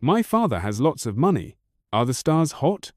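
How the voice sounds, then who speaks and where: A man voicing a wild, boastful ten-year-old boy who chatters on in rapid-fire questions. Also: medium pitch, very animated, darkish tone, no echo, clean studio sound, pitched above the performer's own voice, lightly acted. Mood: chattering.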